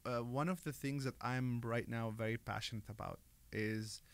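Guy, gravelly fry in his voice, telling stories laid-back with lots of uhs and ums. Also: medium pitch, moderate pace. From Lebanon. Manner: relaxed storytelling, many fillers and restarts. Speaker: interview guest. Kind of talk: casual interview chat.

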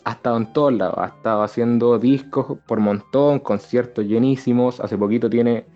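A man's voice: mid-range voice, steady tone, normal speed, dark tone. A man with a Chilean Spanish accent chatting casually as a co-host on a talk show. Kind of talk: casual chat. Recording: slightly dull lo-fi audio. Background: background music.

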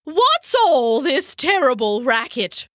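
A cranky, sleep-starved mother owl from a bedtime storybook, calling out in a high, exasperated and pleading voice, as a woman narrator puts her on. The sound is bright and dry, close to the mic. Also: very animated, slow pace, no echo, heavily acted. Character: sleepy grumpy female owl, easily annoyed.

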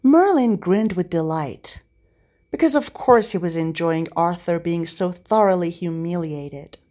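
A woman reading a story aloud as narrator, in an expressive scripted style. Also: fairly low pitch, unhurried. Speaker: podfic narrator.

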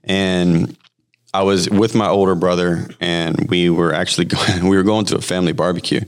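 A man in his thirties, raised in Hawaii, telling his personal testimony as a podcast guest in a halting, casual way full of fillers and restarts. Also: deep voice, level tone. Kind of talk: personal testimony, conversational storytelling.

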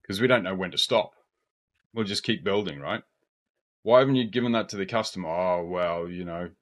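A man from Australia with an Australian accent, co-hosting a podcast in casual discussion, direct and confident with quick bursts of speech. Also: low-pitched. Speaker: podcast co-host.

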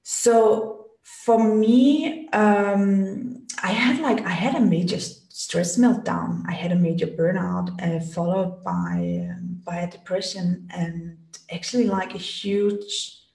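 A woman's voice: lowish voice, fairly even intonation, takes it slowly, vocal fry, darkish tone. A woman from Denmark in her thirties, a podcast guest speaking casually with many fillers and restarts. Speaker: podcast guest, business strategist. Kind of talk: casual interview talk. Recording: echoey room, voice a bit far from mic.

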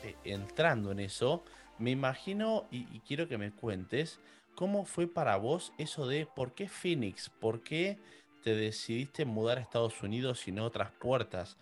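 A man's voice: mid-range voice, lively intonation, measured pace. Young Argentine man hosting over music. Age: 20s. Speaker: podcast host and interviewer. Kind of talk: casual interview chat.

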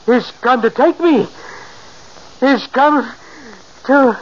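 An actor playing a wounded, doom-haunted man in a 1940s radio drama, with a strained, creaky, halting delivery. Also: extremely high pitch. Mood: fearful, agitated. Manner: halting, fraught, melodramatic. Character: wounded, doom-haunted man facing his foretold death. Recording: muffled, noisy old radio recording.

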